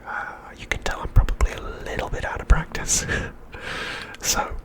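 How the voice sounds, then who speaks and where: A man hosting ASMR in a breathy whisper, speaking in a gentle, soothing and reassuring manner. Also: moderate pace. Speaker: ASMR channel host.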